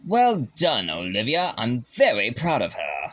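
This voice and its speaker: Wry ghost of a murdered doctor acted by a man, slow dramatic delivery, mid pitch, muffled lo-fi audio. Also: huge pitch swings, a bit bright, a little hiss or hum. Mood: satisfied. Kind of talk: amateur audio drama acting. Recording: dull lo-fi recording.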